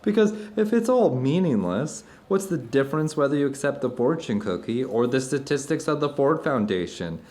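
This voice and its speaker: A man's voice: medium pitch, big pitch swings, moderate pace, few pauses, a little mellow, lightly acted. A man playing a thoughtful, philosophical friend in an audio drama, speaking in an articulate, searching, discursive way. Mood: amused, challenging. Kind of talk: conversational audio drama acting.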